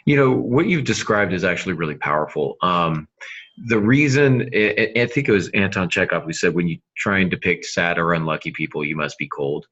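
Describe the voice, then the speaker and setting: A man's voice: deep voice, lively intonation, fairly quick, fairly bright tone, lo-fi sound. A middle-aged man co-hosting a podcast, discussing fiction craft conversationally and emphatically, repeating phrases to make his point. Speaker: podcast co-host, novelist.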